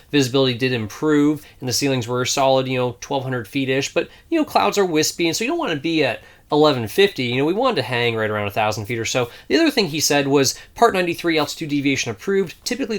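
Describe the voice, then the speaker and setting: A man giving informal, instructive commentary as a flight instructor. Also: mid-range voice. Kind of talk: explanatory video commentary.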